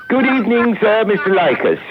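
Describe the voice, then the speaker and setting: A man's voice: high-pitched, big pitch swings, normal speed, a loud crowd. A man playing an obsequious, easily flustered British butler in a comic radio phone-in, constantly saying "sir". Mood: deferential, chatty. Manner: obsequious, ends phrases with "sir". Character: deferential, flustered English butler of a radio host. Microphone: telephone. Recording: thin phone-line sound.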